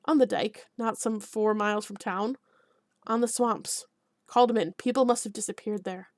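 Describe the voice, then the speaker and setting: A woman's voice: medium pitch, normal speed, dry recording, clean studio sound, close to an everyday voice. Woman reading a terse, grim monster hunter. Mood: curt. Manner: terse, flat.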